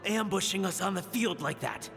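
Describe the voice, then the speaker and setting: High, gravelly, affronted warrior. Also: male voice, expressive, moderate pace, fairly bright tone, heavily acted. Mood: affronted, reproachful. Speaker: voice actor in a video game. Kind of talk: dramatic game dialogue. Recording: long reverb, music underneath.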